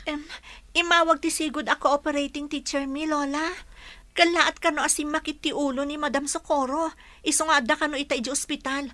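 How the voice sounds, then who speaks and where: High, bright young student teacher. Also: female voice, fairly even intonation, fairly quick, dry recording. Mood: worried. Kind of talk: Ilocano radio drama acting.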